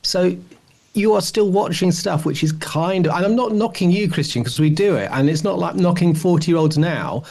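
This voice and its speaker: A man's voice: sits high, normal speed, vocal fry, darkish tone. Man around fifty, opinionated podcast chat. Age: late 40s or about 50. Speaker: podcast host. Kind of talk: casual discussion of television.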